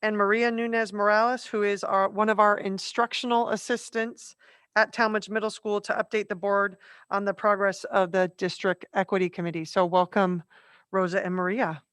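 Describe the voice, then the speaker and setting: A man moderates a meeting, introducing guests briefly and matter-of-factly. His voice is smooth and flat in tone, recorded dry and close. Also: high-pitched, normal speed, no room sound.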